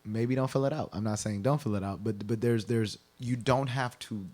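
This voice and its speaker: A man's voice: mid-range voice, moderate pace, a little mellow. A man from Kenya talking in a conversational radio interview, explaining things and often checking in with "right?". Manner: explanatory, rhetorical "right?" tags. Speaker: guest explaining the census.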